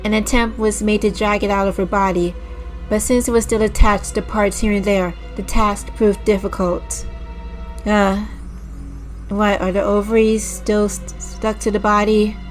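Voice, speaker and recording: A woman streamer reading a visual novel aloud with a slightly raspy voice, restarting over stumbled words, clearing her throat often and breaking off into disgusted asides to her chat. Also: mid-range voice, flat delivery, a little slow. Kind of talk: slow dramatic read-aloud with casual asides to chat. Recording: music playing clearly behind the voice.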